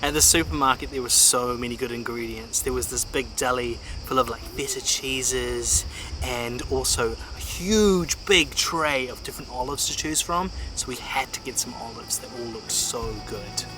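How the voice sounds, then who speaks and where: A man's voice: mid-range voice, normal speed, sharp tone, soft background music. A man chatting casually to camera as a travel vlog co-host.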